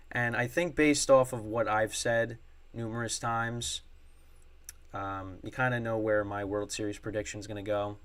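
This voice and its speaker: A young man hosting a sports podcast solo, rambling with many fillers and restarts, his voice strained from screaming at a game. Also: lowish voice. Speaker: podcast host.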